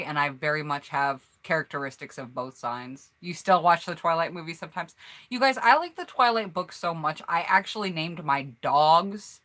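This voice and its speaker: A woman's voice: low voice, pushed and bright. An American woman chatting casually on a home mic, reading out viewers' answers in an upbeat, rambling way. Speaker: YouTuber hosting a collab tag.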